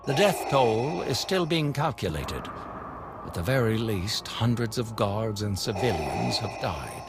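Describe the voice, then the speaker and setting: A man's voice: lowish voice, sing-song, measured pace. Grave, formal male officer. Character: grave male military officer reporting losses. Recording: game music behind the voice.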